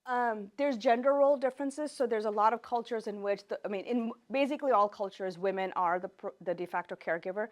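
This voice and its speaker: A woman's voice: mid-range voice, fast talker, few pauses. A woman professor whose native language is Gujarati gives an informal academic lecture, talking conversationally, often correcting herself and putting questions to the class.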